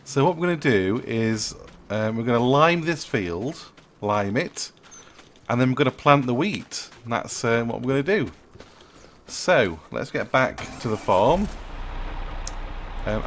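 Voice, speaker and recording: A man's voice: medium pitch, animated, measured pace, fairly bright tone. A man with a cold and a stuffy nose, chatting casually through his farming game with lots of ums as he thinks aloud. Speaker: farming sim video maker. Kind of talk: casual gameplay commentary.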